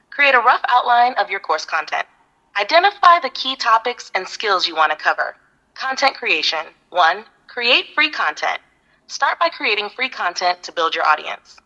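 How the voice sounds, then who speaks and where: A woman's voice: mid-range voice, bright, forceful tone, highs cut off. A synthetic woman's voice of an AI assistant, giving upbeat, polished step-by-step advice. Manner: upbeat, polished, evenly paced listing. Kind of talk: scripted advice reading.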